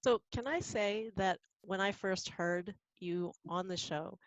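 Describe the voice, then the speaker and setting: A woman's voice: medium pitch, unhurried, no echo, rough recording. A woman chatting casually with fellow cast members on a group video call. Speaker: audio drama cast member. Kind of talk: casual chat on a group live stream.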